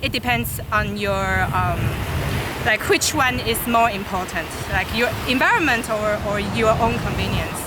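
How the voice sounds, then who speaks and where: A woman's voice: mid-range voice, a little slow. A young woman from Taiwan with a Taiwanese accent, answering casually in hesitant non-native English. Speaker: interviewee, beach cleanup participant. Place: outdoors at a beach. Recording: very noisy outdoor field recording.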